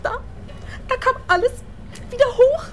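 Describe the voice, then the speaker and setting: A woman's voice: very high voice, unhurried, fairly bright tone, a little hiss or hum. A woman playing an earnest, theatrical actress, proud of her craft, in a German-language radio play. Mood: troubled.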